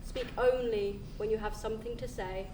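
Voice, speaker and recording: A woman on stage playing a prim, reserved figure with clipped phrases and deliberate pauses. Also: on the high side, very animated. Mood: aloof, dry. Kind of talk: stage play acting. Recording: echoey distant stage recording, clear noise.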